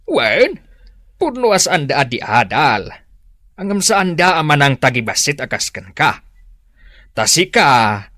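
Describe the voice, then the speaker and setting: A man's voice: high voice, bright, forceful tone, no room sound, clean studio sound, full character voice. A man playing a stubborn older father. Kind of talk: radio drama acting.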